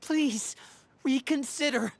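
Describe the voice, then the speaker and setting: A woman's voice: high voice, slow pace, creaky, slight background noise, exaggerated voice. A woman voicing an earnest, loyal youth in a video game, heartfelt and pleading.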